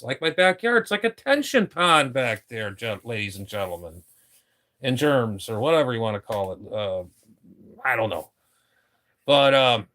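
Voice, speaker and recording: Middle-aged guy griping on his home radio stream, mid pitch, rambles and repeats himself. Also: a bit bright. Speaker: ham radio live streamer. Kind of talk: casual rambling live stream chat.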